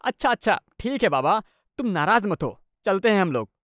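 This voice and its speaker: A woman plays a young husband in a cartoon tale. The pitch swings widely as he teases, grumbles and yields. The sound is dry. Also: big pitch swings, normal speed, no echo, close to an everyday voice. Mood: placating. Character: young husband, teasing but easily giving in. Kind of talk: animated Hindi moral-story dubbing.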